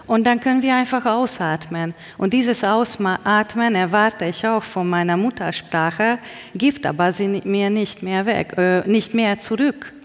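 A woman's voice: mid-range voice, measured pace. A woman poet with a strong Hungarian accent, Hungarian native, giving reflective interview answers and often searching for words. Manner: searching for words, self-correcting. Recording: long reverb of a hall.

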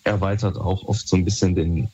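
A young man from Berlin with a lowish, dark and relaxed voice. He answers casually in laid-back, colloquial speech, and the recording is a little dull. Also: fairly quick, husky. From Germany, Berlin. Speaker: interviewee. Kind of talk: casual chat. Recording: slightly dull, muffled audio.

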